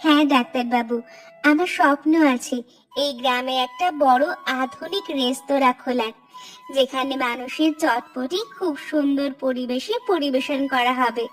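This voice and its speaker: A woman's voice: sits high, normal speed, faint music behind, close to an everyday voice, low-quality recording. A woman voicing an earnest, hopeful young village stallholder in a cartoon, speaking respectfully and sincerely. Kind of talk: scripted cartoon dialogue.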